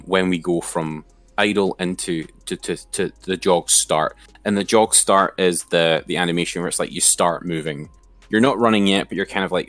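A man's voice: low-pitched, fairly bright tone, no room sound. A man giving an informal, step-by-step screen-share tutorial as an instructor.

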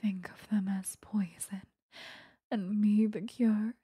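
Obsessive vampire seductress acted by a woman for intimate ASMR roleplay: very breathy whisper, dark and soft, menacingly possessive. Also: mid-range voice, steady tone, dry recording, studio quality. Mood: coaxing, sinister. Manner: slow, coaxing, intimate. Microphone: close microphone.

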